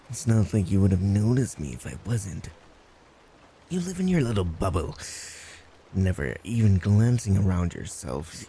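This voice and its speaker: A creepy, obsessive next-door stalker, acted by a man for a roleplay audio drama. His voice is low and dark, taking its time as he mocks the listener in blunt, halting asides. There is clear noise behind him. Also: exaggerated voice. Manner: sardonic, halting, breaking into giggles and mutters.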